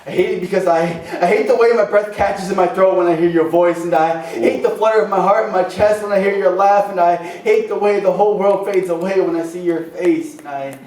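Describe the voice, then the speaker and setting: A man performing slam poetry at an open mic, impassioned and rhythmic, with dramatic pauses and rhymes. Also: high voice, a little mellow. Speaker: slam poet. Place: open mic venue with a small responsive audience. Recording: echoey live room, distant microphone.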